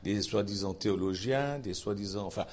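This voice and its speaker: A man's voice: lowish voice, fairly quick. A man from Switzerland with a Swiss French accent, preaching an informal Bible sermon in a folksy, chatty way with asides to his listeners. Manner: folksy, chatty asides, lively rising emphasis.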